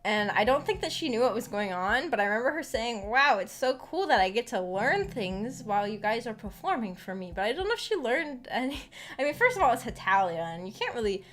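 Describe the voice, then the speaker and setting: A woman's voice: a little high, big pitch swings, fairly quick, fairly bright tone. A woman streamer chatting casually and telling personal anecdotes in a giggly, self-deprecating way. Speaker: streamer hosting a visual novel book club. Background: background music.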